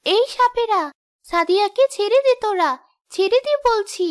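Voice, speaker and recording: A woman voicing a gentle, trusting young wife in exaggerated cartoon dialogue. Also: extremely high pitch, moderate pace. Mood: alarmed.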